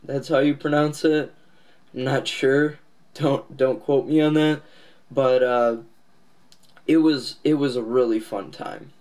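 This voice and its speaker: A congested man with a nasal voice, getting over a cold, giving a casual, halting update to his viewers. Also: mid-range voice, fairly even intonation, a little mellow. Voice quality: nasal, stuffed-up. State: congested, recovering from a cold and lost voice. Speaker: comics channel host.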